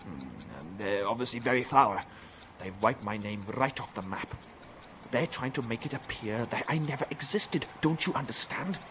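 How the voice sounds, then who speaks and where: An actor playing a middle-aged, defensive professor confined to an asylum, insistent, bitter and articulate, in a radio drama. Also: male voice, medium pitch, moderate pace, background noise, natural voice.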